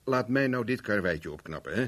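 An actor in a 1970s Dutch radio play, playing a cocky private investigator with a dry, teasing, self-mocking manner. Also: male voice, lowish voice, animated, brisk, fairly bright tone. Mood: firm, explaining. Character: wry, cocky male private investigator for hire.

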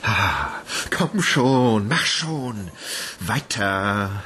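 A man's voice: lowish voice, expressive, extremely slow, sharp tone, a little hiss or hum, full character voice. An actor playing a naive, childlike grown man who earnestly repeats his girlfriend's sayings. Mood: excited. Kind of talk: radio play acting.

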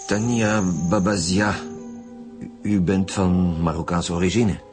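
An actor playing a blunt, suspicious Flemish chief inspector in a radio crime drama, probing and sardonic. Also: male voice, low voice, steady tone, slow. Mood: sardonic, pressing. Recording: music behind the voice.

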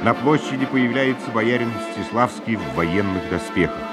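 A man narrating stage directions in a radio play with an even, matter-of-fact reading. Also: lowish voice, normal speed. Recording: loud music under the voice.

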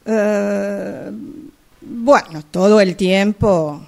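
A woman's voice: mid-range voice, drawn-out words, vocal fry, warm tone. A woman with an Argentine accent playing a timid, nervous young client in an amateur radio play, speaking hesitantly.

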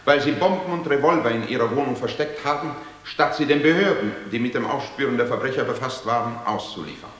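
An actor plays a male court official in a radio drama. He declaims formally at a medium pitch, in a heavily echoing room. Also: fast, few pauses, fairly bright tone, natural voice. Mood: formal, accusing. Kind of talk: German radio play acting. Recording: very echoey, cavernous room.

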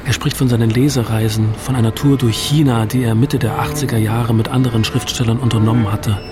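A man's voice: medium pitch, fairly even intonation, fairly quick, over music, natural voice. Actor as reflective, self-doubting male writer. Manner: reflective, confessional. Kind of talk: radio drama narration and dialogue.

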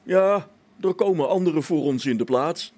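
A man's voice: on the high side, moderate pace, a little mellow, lightly acted. A man playing an irritable, sardonic space station engineer in a radio play, emphatic and impatient. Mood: irritated.

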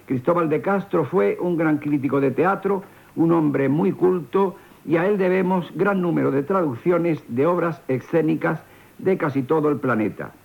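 A man's voice: on the high side, normal speed, creaky. A man giving formal, erudite scripted commentary as a theatre critic on 1970s radio. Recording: thin phone-like 1970s radio sound.

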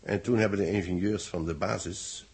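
Low, husky, formal man dictating. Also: steady tone, darkish tone, heavily acted. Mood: explanatory. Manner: measured, formal, explanatory. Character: authoritative man dictating the story of a space mission. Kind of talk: 1960s radio drama acting. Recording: muffled-voiced old 1960s radio recording.

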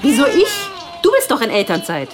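An actress playing a brisk, reproachful woman in a radio play. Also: high-pitched, wildly swooping pitch, music in the background. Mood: irritated.

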